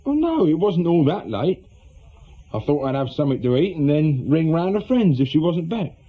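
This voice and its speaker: An actor playing a blunt, agitated widower under questioning in a radio drama, with a husky voice. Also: male voice, fairly high pitch, sing-song, moderate pace. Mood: defensive. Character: distressed, defensive widower, small-time shopkeeper. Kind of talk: naturalistic radio drama acting. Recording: dull lo-fi radio recording.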